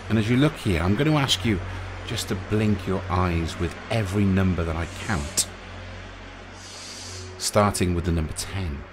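A man narrating a guided sleep meditation in a soothing, gentle, unhurried way, leaving pauses between short phrases. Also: sits low, background noise. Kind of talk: guided sleep meditation, hypnotic relaxation countdown. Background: music.

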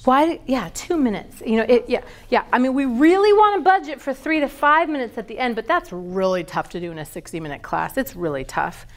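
High-voiced woman teaching yoga trainees. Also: brisk, hardly any pauses. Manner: lively, quizzes students with questions. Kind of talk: teaching a live training class. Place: large wooden-floored yoga studio. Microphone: far camera.

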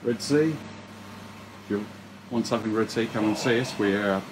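A man's voice: on the low side, noisy background. An Australian man, the owner, giving a casual walkthrough of his store and listing products one by one. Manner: slow, unhurried listing of items one by one. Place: indoor retail store.

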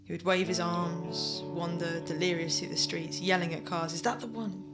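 An actress playing a wistful, reflective daughter in an audio drama monologue, telling her memories haltingly. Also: lowish voice, fairly even intonation, over loud music, natural voice. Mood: grieving, haunted. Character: wistful daughter recalling her adored theatre-critic father.

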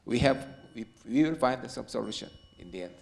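A middle-aged man with a Korean accent, a native Korean speaker, talking on a conference panel into a handheld mic in halting English, searching for words. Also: medium pitch, lively intonation, gravelly. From South Korea. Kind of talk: panel discussion. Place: conference stage in a hall.

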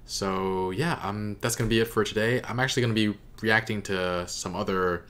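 Young adult male reaction host, low voice, casual commentary, close desk mic in a home room. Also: fairly even intonation, moderate pace, fairly bright tone. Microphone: desk microphone close in front.

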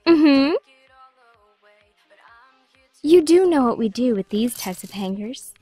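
A woman's voice: high-pitched, lively intonation, normal speed, over music. A woman playing a brash, bubbly, bossy girl in an audio drama, flippant and gushing. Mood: breezy, teasing.

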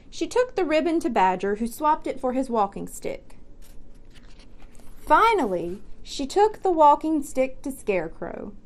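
A young woman reads a children's story aloud. Her voice sits high and moves widely in pitch. She shapes each phrase expressively and deliberately, as a storyteller does. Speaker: library storyteller. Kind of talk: children's picture book read-aloud.

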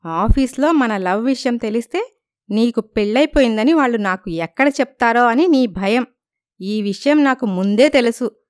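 A woman's voice: on the high side, expressive, moderate pace, no room sound, clean studio sound, close to an everyday voice. A woman voicing a scheming, haughty young girlfriend in a cartoon story, pert in manner. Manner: pert, mixes in English phrases.

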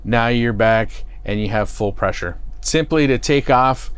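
A middle-aged man talks slowly through a how-to demonstration from the driver's seat of a parked motorhome. His voice is smooth and clear at a middle pitch, picked up close on a lapel mic. He explains in a plain, stop-start way, with fillers and restarts. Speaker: product expert. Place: inside a parked motorhome cockpit.

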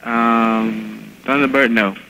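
A man's voice: mid-range voice, fairly even intonation, slow pace, music in the background. A man working at a grocery store, politely and patiently helping a caller with some hesitation. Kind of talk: customer service phone call. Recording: phone call with clear line noise.

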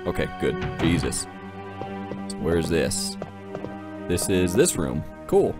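A man's voice: deep voice, sing-song, slow pace, music in the background. A man giving casual, joking gameplay commentary in a slangy, sweary way, as host of a gaming video.

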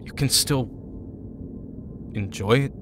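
An actor playing a brooding, wounded teenage team leader in an audio drama, moody and halting. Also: male voice, mid-range voice, background noise, close to an everyday voice. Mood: troubled, weary. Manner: moody, hesitant, curt.

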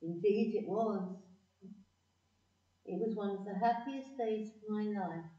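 Woman acting a mellow, frail grandmother. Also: mid-range voice, far from the mic, close to an everyday voice. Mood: wistful. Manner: halting, wistful. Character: frail, gentle, apologetic elderly grandmother. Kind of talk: community audio play. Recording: muffled echoey room, away from mic.

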